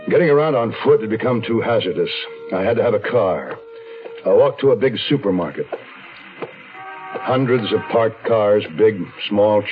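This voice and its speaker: Low-voiced, brooding noir fugitive played by an actor. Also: male voice, natural voice. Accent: American. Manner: brooding, deliberate, dramatic storytelling. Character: hunted, weary man on the run, narrating his own story. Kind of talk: 1970s radio mystery drama, first-person noir narration. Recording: lo-fi phone-like 1970s radio, faint music.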